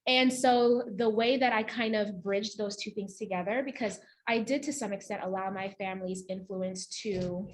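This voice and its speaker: A young woman from Uganda, speaking on a panel in a lively way and laughing often. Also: mid-range voice, normal speed, hardly any pauses. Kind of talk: casual panel talk.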